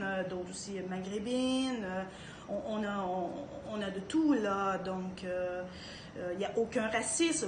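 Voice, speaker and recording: A woman's voice: mid-range voice, roomy sound, background noise. A woman from Canada with a Canadian French accent, speaking to camera in a casual monologue, hesitant, with many fillers and restarts. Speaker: party member speaking to camera.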